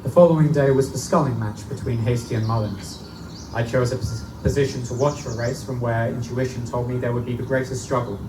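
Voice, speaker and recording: A man playing an earnest, studious young student narrating a gothic tale in formal, measured phrases at a live reading, recorded in a roomy, noisy space. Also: medium pitch, fairly even intonation, close to an everyday voice. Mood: grave, measured. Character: Smith, earnest studious young male Oxford student recounting eerie events. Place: live stage reading in a bookstore. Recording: echoey live recording, distant mic, room noise.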